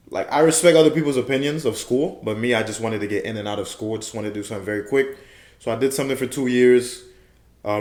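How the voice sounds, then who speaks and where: A young man from Haiti, a guest on a podcast, talking in a relaxed, affirming way and repeating his key phrases. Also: on the low side. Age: young adult. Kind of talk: casual interview chat. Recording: roomy echo.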